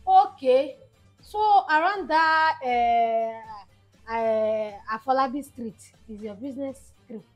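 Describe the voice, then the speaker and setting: A woman playing a sly wife in a comedy skit, calm and mocking. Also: sits high, slow, dull audio, soft background music. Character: sly wife who sold her husband's car.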